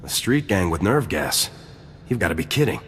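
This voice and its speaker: A man's voice: low-pitched, sing-song, normal speed, long reverb tail, a little hiss or hum. A man playing a brash, cynical army lieutenant in a video game, curt, cocky and insolent. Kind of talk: scripted game dialogue.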